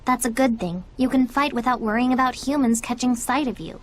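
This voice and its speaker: A woman playing an aloof, sardonic young girl spirit in a video game, curt and deadpan. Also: a little high, brisk, slight background noise, lightly acted. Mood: flippant. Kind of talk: English video game dub acting.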